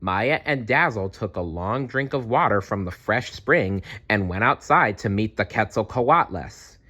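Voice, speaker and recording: A man reading a children's story aloud in an expressive, deliberate storytelling manner. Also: on the low side, slightly rough. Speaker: storybook reader. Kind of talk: children's read-aloud narration.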